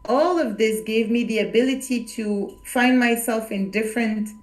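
A woman from Morocco talking reflectively in a casual conversation, often pausing and restarting as she searches for words. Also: on the high side, reverberant.

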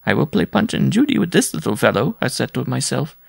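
Man voicing a high, plaintive, worn-out peasant woman. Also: sing-song, brisk, gravelly, slightly rough, no echo, studio quality, exaggerated voice. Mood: rueful. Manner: plaintive, sobbing lament. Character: gaunt, worn-out peasant woman, pleading and bitter.

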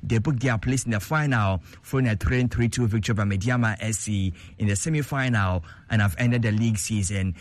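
A man presenting sports news in a steady, formal, scripted delivery. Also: lowish voice, a little fast, hardly any pauses, no room sound.

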